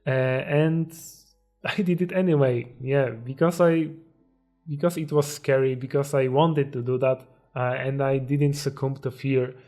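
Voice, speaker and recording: Young man, Polish accent, medium pitch, motivational explainer, slightly hesitant non-native phrasing, background music. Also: steady tone, a little slow, a little mellow. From Poland. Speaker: self-improvement video creator.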